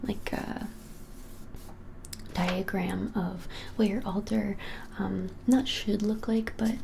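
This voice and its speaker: A woman in her twenties whispering an ASMR roleplay as a shop clerk, gently and hesitantly, with many pauses. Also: mid-range voice, fairly even intonation. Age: 20s. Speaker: ASMR creator playing a witch shop clerk. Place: plain small indoor room. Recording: clearly hissy background noise.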